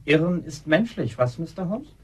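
A man's voice: fairly high pitch, animated, normal speed, husky. An actor in a German radio drama playing a smug, mocking con man who talks with sardonic, theatrical politeness. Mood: smugly triumphant.

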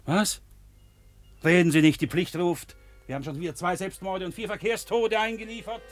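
An actor playing a gruff senior mortuary preparator in a radio play, curt and snapping. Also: male voice, high voice, steady tone, normal speed, over music, close to an everyday voice.

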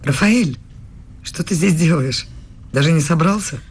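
A woman's voice: low-pitched, animated, a little slow, background noise. An actress playing a stubborn, tender young woman student in love, in a radio play. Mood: reproachful, surprised.

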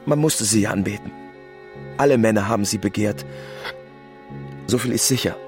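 A man's voice: lowish voice, normal speed, soft background music, close to an everyday voice. An actor playing a brooding, stubborn widower mourning his wife in a radio play. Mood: adoring grief. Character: grieving, stubborn, trusting widowed husband.

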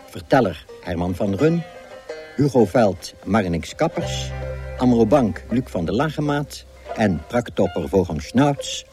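A man reading radio drama credits as an announcer in a formal, measured way. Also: on the low side, dark tone.

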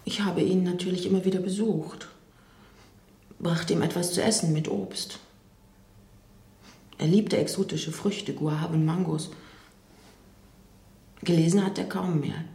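A caring woman in a radio play, quietly looking back on a friend. Her voice is dark and mellow with a creaky edge, heard in a roomy, reverberant space. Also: on the low side, steady tone, a little slow, pauses often. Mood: wistful. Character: caring friend recalling visits to an ailing man. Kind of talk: reflective radio drama monologue.

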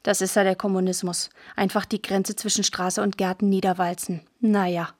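Wry village lady played by actress telling what happened, radio play, very dry sound, medium pitch. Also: little pitch movement, moderate pace, a little mellow, dry recording, close to an everyday voice. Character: wry villager recounting the Russians' arrival. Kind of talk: first-person account in a radio play.

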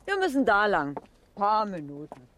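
An actress playing a talkative, brisk small-town station attendant in a colloquial 1970s German radio drama. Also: female voice, medium pitch, huge pitch swings. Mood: concerned, bossy. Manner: chatty, folksy, blunt.

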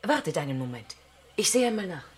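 An actress playing a thoughtful, well-read crew member in a German radio play, in a naturalistic, musing way. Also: female voice, on the low side, very animated, a little fast, a little hiss or hum. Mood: intent, searching.

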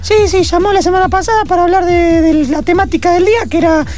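A man's voice: very high-pitched, fairly even intonation, fast, a little mellow, soft background music. A man with an Argentine accent playing a chatty, slightly clueless radio co-host in improvised radio theatre. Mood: vague.

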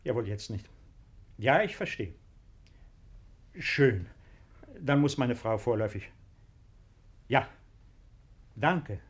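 A baron in a vintage radio drama, polite and quietly resolute. His husky voice sits at a medium pitch. He chooses his words with earnest, protective care. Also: expressive, takes it slowly, long pauses. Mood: anxious, urgent. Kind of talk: 1950s German radio play acting. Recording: 1950s radio play recording.

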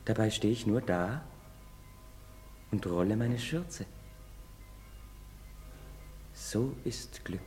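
An earnest young apprentice in a stage drama. He speaks slowly and dreamily, with lively swings of pitch, in a long-ringing hall. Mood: elated, dreamy. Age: young adult. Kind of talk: theatrical stage acting. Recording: reverberant theatre hall.

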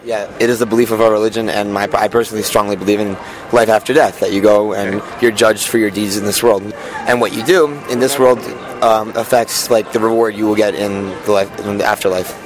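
A man's voice: lowish voice, steady tone, a little fast, poor audio. An eighteen-year-old man answering questions in casual, spontaneous conversation. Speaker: street interviewee.

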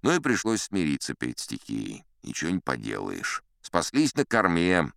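An actor playing a chatty, boastful old sea captain, spinning tall tales in a theatrical audiobook manner. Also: male voice, sits low, wildly swooping pitch, moderate pace, vocal fry, fairly bright tone, no echo, clean studio sound, exaggerated voice. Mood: animated recounting. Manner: theatrical, leisurely, old-fashioned conversational raconteur. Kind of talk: dramatized audiobook storytelling.

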